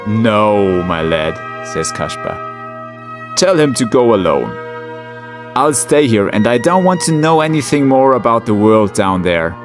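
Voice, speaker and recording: A man's voice: lowish voice, sing-song, a little slow, music in the background. A man voicing a plain, stubborn old male villager in a told story.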